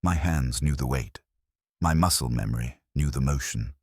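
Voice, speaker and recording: A man reading a scripted dramatic story in the first person, solemn and measured, with clipped phrasing. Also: extremely low pitch, breathy edge, no room sound, clean studio sound. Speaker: narrator.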